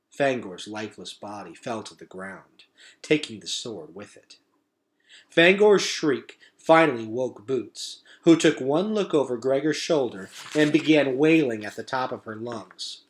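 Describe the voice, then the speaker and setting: Animated man reading an adventure story aloud. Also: mid-range voice, measured pace. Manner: animated, suspenseful delivery. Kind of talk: dramatic children's novel read-aloud.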